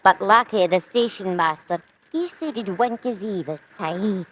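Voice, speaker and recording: A woman's voice: medium pitch, unhurried, slight background noise, close to an everyday voice. A woman playing a fussy, anxious old lady with a Scottish accent in a radio drama, chattering and repeating herself. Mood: fussy, apologetic.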